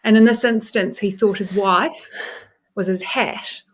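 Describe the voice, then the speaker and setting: New Zealand woman, expressive explainer. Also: mid-range voice, a little slow. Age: 40s. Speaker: podcast guest, neuroscientist. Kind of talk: conversational interview, explaining and storytelling.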